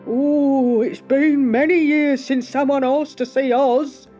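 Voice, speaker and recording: A little storybook gatekeeper man, as a woman narrator plays him gives solemn, emphatic warnings. The voice is high and measured, on a dull recording. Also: fairly even intonation, mellow, faint music behind. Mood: curious, warning.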